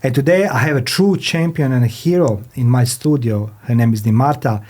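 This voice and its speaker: A man who is a non-native English speaker hosts a podcast interview, speaking haltingly and often repeating his phrases. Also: mid-range voice, darkish tone. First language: not English. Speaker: podcast host. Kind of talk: podcast interview, introducing and questioning a guest. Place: studio.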